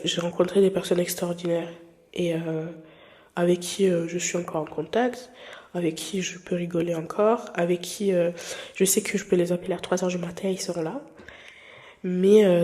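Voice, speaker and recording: Young woman with a warm, mellow voice. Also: on the low side. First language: not French. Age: 20s. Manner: rambling, hesitant, lots of fillers and restarts; candid and playful. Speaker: podcast host. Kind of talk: casual personal chat.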